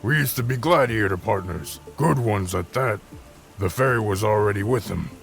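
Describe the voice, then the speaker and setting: Guy doing a gruff dwarf fighter telling his tale, low n really gravelly, fantasy audio story. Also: moderate pace, fairly bright tone, soft background music. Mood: storytelling.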